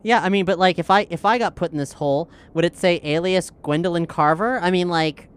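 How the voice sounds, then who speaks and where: Clear-voiced woman, blunt casual game player. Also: fairly low pitch, fairly quick. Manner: blunt, sweary, questioning. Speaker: tabletop role-play player.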